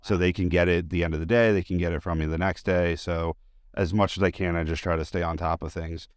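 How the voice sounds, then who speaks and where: A man with an extremely deep, clean, resonant voice talks as a guest in a relaxed interview. He is informal and chatty, sharing personal anecdotes with enthusiasm. The sound is dry, with hardly any room to it. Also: fairly quick, clean tone, dry recording. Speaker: interview guest, high school teacher and sports announcer. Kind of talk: casual conversational interview, anecdotes.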